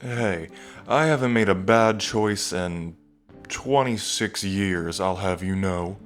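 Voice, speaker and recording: A man's voice: sits low, slow, faint music behind. A man playing a cocky yet tender young dragon king in his twenties, speaking casually and hesitantly to the listener in an intimate ASMR roleplay. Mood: tipsy, playful. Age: 20s. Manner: casual, hesitant, trailing phrasing.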